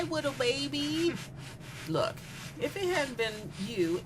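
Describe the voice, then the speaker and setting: A woman's voice: lowish voice, noisy background. A woman playing a cruel, self-absorbed young female killer in a darkly comic audio drama, speaking in a mocking, sardonic, conversational way. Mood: mocking, menacing. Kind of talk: dramatic monologue in an audio horror comedy.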